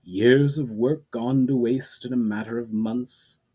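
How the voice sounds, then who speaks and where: A man voicing a disembodied, coldly calculating villain with a deep, silky, unhurried voice in a fantasy story reading. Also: fairly even intonation, warm tone, slightly rough. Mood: falsely reassuring. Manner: slow, falsely reassuring, unhurried.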